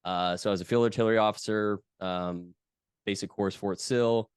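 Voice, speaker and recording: A man in his thirties, a podcast guest from the US West Coast, talking in a relaxed, chatty way with many fillers. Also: on the low side, moderate pace, smooth, dry recording, studio quality. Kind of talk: casual conversation.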